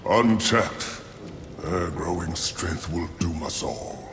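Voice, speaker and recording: An actor voicing a proud, embattled angel commander in a video game, speaking with grand, formal deliberation. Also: male voice, low voice, takes it slowly. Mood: solemn. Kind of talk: theatrical video game acting. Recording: echoey hall reverb, clear noise.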